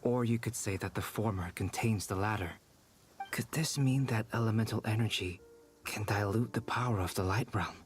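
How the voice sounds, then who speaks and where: An actor playing a calm, analytical young male scholar in a video game, precise and composed as if lecturing. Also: fairly low pitch, moderate pace, lightly acted. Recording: game music behind.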